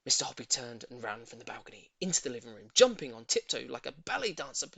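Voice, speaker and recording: A woman reading a children's story aloud as expressive, dramatic narration. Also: very deep voice, fast talker, creaky, slightly rough. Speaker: audiobook reader.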